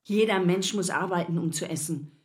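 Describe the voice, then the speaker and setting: A woman's voice: on the low side, moderate pace, a little mellow, lightly acted. Weary, reproachful mother played by an actress. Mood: worried. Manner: scolding, worried. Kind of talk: German radio play dialogue.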